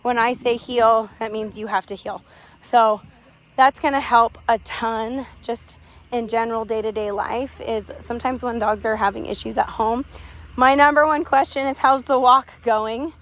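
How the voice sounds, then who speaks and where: A young woman dog trainer, hoarse from losing her voice, explains a lesson in a chatty way and gives firm short commands to a dog, recorded on a phone in an outdoor park. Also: fairly high pitch, fairly even intonation, normal speed, fairly bright tone. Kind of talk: casual on-the-spot training explanation. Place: outdoor park with other dogs. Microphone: handheld phone camera.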